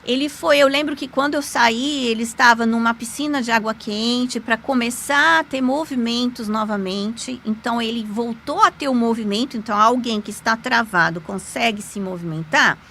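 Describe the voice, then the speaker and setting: A woman, a podcast guest, telling a personal story in an animated, emphatic way with sudden pitch jumps. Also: normal speed, hardly any pauses, slight background noise. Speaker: podcast guest, lawyer and magic teacher. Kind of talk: conversational storytelling.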